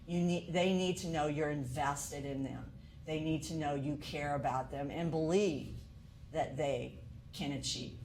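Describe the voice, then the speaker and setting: A woman's voice: sits low, fairly even intonation, a little slow, darkish tone, a little hiss or hum, poor audio. A woman college instructor lecturing to teachers in an earnest way, asking many rhetorical questions.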